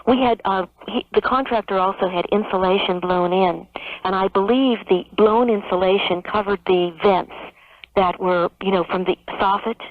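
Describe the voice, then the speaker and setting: A woman's voice: medium pitch, moderate pace, no echo. A woman phoning in to a radio advice show, explaining her problem conversationally. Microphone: telephone. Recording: tinny narrow phone line on radio.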